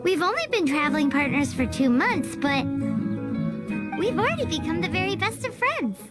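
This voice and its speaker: A woman voicing a small, chirpy, outspoken fairy companion in a video game, squeaky and childlike, with game music behind her. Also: very animated, normal speed. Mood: chatty. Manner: speaks of herself in third person, childishly indignant. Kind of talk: video game cartoon voice acting. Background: game soundtrack music.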